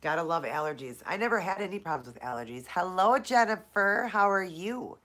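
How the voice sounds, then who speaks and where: A woman's voice: lowish voice, expressive, a little fast, a bit bright. A woman from Minnesota hosting a casual live Q&A, chatting with viewers by name, her nose stuffed up from allergies. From Minnesota, USA. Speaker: live-stream host.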